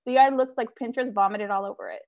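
A woman's voice: on the high side, quick pace, a little mellow. A woman in her thirties reads scripted stage directions aloud at a table read, on an earbud headset over a video call from home. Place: living room at home.

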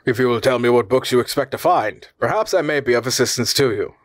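A man voicing an excitable, cunning male scholar in an audiobook, with a formal, abrupt, guarded way of talking. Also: mid-range voice, fast talker, no room sound, close to an everyday voice. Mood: probing, guarded.

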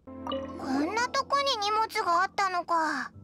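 A woman voicing a small, childlike, cheeky fairy companion in an anime game, with a squeaky voice. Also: very animated, unhurried, sharp tone, faint music behind, heavily acted. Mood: chatty.

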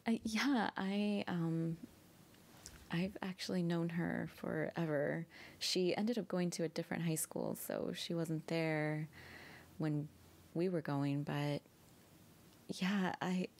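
A woman's voice: lowish voice, steady tone, slow pace, a little mellow. A young woman playing a shy, flustered woman reuniting with an old flame in an intimate, hesitant, giggly ASMR roleplay. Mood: soft, nostalgic. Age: young adult. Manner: hesitant, giggly, often breathy asides. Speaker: girlfriend roleplay audio performer.

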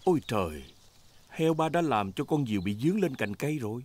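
A man's voice: mid-range voice, big pitch swings, a little fast, a little mellow, a little hiss or hum, close to an everyday voice. A man playing the cheerful storybook narrator of a children's cartoon, explaining things simply. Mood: explanatory.

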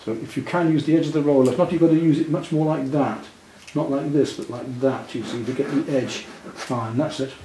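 A man's voice: mid-range voice, steady tone, a little fast, few pauses, dark tone. A man in his sixties patiently teaching a student to paint, giving reassuring step-by-step instructions. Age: 60s. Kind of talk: hands-on demonstration to a student. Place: living room at home, indoors. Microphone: camera a few feet away. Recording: roomy, distant mic with background noise.

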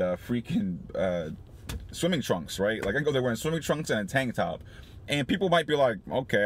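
Animated man vlogging from his car. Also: medium pitch. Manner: animated, laughing often, many restarts. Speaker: small business owner vlogger. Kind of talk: casual motivational vlog. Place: parked car, indoors. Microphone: phone camera on dashboard.

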